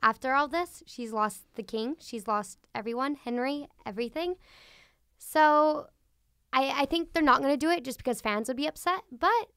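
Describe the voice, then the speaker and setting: A woman co-hosting a podcast, chatting casually and thinking out loud. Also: sits high, moderate pace, no echo, clean studio sound. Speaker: guest co-host.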